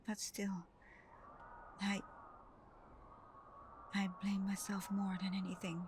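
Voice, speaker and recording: A woman playing a tender, devoted vampire girlfriend in an intimate ASMR roleplay, speaking softly and breathily, often in a whisper, gentle and reassuring. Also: medium pitch, completely flat delivery, takes it slowly, a little hiss or hum, natural voice. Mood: guilty, wistful.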